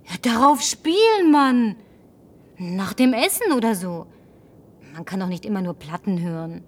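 An actress dubbing a brash, lonely young woman who survived the end of the world, teasing and sharp-tongued. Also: medium pitch, expressive, a little slow, recorded from a distance. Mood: wistful. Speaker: Linda, survivor driving a car. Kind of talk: German film dub.

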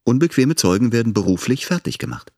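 An actor playing a calculating, self-important man of influence, delivering a measured dramatic monologue. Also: medium pitch, expressive, vocal fry, a little mellow. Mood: controlled, calculating.

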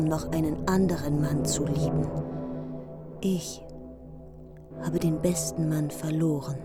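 Kriemhild, a grieving vengeful queen. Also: low voice. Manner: slow, grave, often whispered. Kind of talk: radio play acting. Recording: heavy music underneath.

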